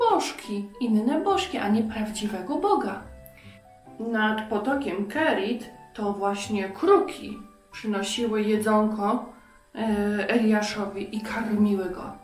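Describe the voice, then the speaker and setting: High-voiced woman teaching children, echoey room. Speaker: children's Bible lesson teacher. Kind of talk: interactive lesson for young children.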